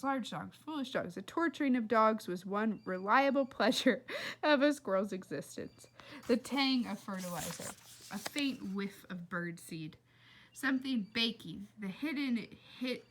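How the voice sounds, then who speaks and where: A woman reading a children's book aloud like a teacher, in an animated, expressive way with chatty asides to her listeners. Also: a little high. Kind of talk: animated read-aloud narration.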